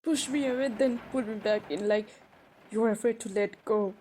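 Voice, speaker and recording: A woman playing a hurt, defensive young woman in an emotional romantic roleplay, speaking haltingly with pauses. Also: medium pitch, warm tone, husky, natural voice. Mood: angry, wounded. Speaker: audio roleplay performer. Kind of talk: improvised romantic roleplay drama. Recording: noisy background ambience.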